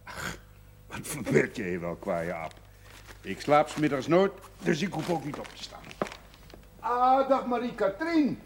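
A man's voice: a little high, a little slow, long pauses. An actor playing a genial, opinionated older man, chatty and hospitable, in a naturalistic television drama. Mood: grumpy, then welcoming. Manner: animated, chatty. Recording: noisy 1970s television soundtrack.

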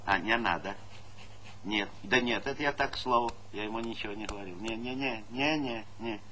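An actor playing a brisk, folksy, middle-aged collective farm chairman who is bossy and repeats words in quick bursts, in a stage comedy. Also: fairly low pitch, sharp tone. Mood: deferential, affable. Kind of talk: theatrical stage comedy acting. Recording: noisy 1970s Soviet theatre recording.

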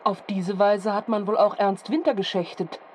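An actor playing a composed radio news presenter, speaking in a formal, measured and articulate way. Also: mid-range voice, fairly even intonation, darkish tone, slight background noise, close to an everyday voice. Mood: matter-of-fact. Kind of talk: formal scripted broadcast news reading.

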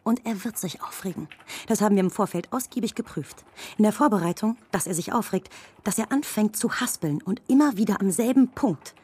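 A calculating campaign strategist with a dark, husky voice. She speaks clinically and scornfully, laying out her plan methodically in a satirical radio play. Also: mid-range voice, lively intonation, a little fast. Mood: scornful, building.

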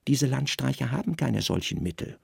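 A man playing a grave, calculating Roman secret service chief who speaks calmly, deliberately and deadpan in a literary radio drama. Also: medium pitch, vocal fry, breathy edge, no room sound, studio quality, exaggerated voice. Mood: calm, reasoning.

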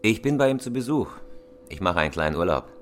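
A man's voice: low-pitched, very animated, quick pace. An actor playing a cool, hard professional robber in a crime radio play, clipped and controlled in his way of talking. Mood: calm, guarded. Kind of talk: terse radio drama dialogue.

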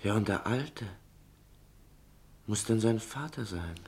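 Inquisitive, earnest schoolboy. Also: male voice, lowish voice, animated, a little mellow, husky, full character voice. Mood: excited, earnest. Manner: probing, halting, eager. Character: inquisitive, earnest schoolboy son, persistent and sensitive. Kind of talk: German radio play acting.

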